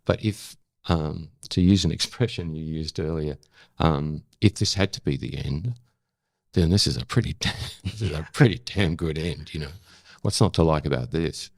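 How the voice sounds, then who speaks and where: An Australian man of seventy talking reflectively in an interview, in an unhurried, halting storytelling way with emphatic repetition. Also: very deep voice, vocal fry, dry recording, clean studio sound. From South Australia. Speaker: interview guest, former broadcaster.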